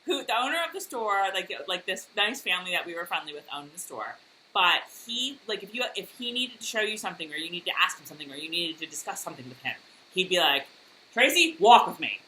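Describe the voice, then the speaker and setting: A chatty woman recapping a TV episode in relaxed conversation, full of fillers and laughs. Her voice is bright and forward, with a faint steady background hum under it. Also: fairly low pitch, quick pace, low-quality recording. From United States. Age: adult, worked in high school and college years ago. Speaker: podcast co-host. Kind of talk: casual recap chat.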